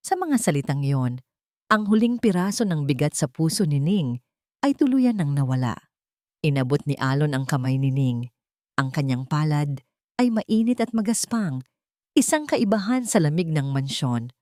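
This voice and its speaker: A woman reading a sentimental story as an audiobook narrator, in a measured, dramatic way. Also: low voice, big pitch swings, a little mellow, no echo, clean studio sound.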